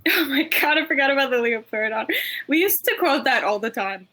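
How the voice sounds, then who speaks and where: A woman's voice: high-pitched, expressive, brisk, sharp tone. A woman chatting casually among friends. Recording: very noisy mic with clicks.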